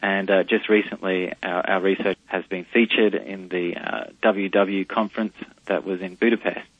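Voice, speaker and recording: A man's voice: deep voice, steady tone, normal speed, breathy edge, dry recording. A man with an Australian accent, a company CEO interviewed over the phone on a radio show, talking in a hesitant way with many fillers and restarts. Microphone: telephone line. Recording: muffled lo-fi phone line.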